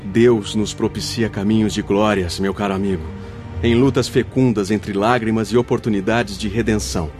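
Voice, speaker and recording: A man portrays a wise, benevolent spirit guide in a Brazilian radio drama. He instructs patiently and formally in a fairly low voice, with faint music underneath. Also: steady tone, normal speed, close to an everyday voice. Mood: solemn teaching. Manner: solemn, didactic, formal. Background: soft music.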